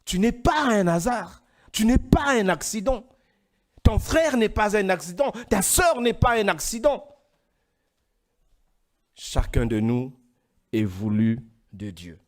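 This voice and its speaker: A man preaching a sermon, from Congo, emphatic and rising into louder, higher passages. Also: big pitch swings, slow, long pauses.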